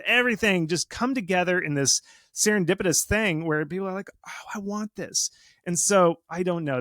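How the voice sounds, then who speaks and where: A man giving advice as a guest expert in a casual interview, speaking in an animated, informal, self-deprecating way. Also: high-pitched, dry recording, studio quality. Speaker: guest game marketing expert. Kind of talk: casual interview talk, explaining and advising.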